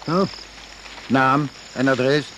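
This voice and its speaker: A cheeky rogue for hire in an old radio drama. He speaks at a medium pitch, nonchalant and mocking, with colloquial turns. Clear noise lies over the recording. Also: very slow, a bit bright. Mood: sly, flippant. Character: cheeky, shady hired adventurer, sly and flippant.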